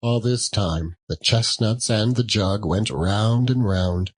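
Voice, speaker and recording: A man narrating a classic tale as a dramatic audiobook, in a theatrical, measured way. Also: lowish voice.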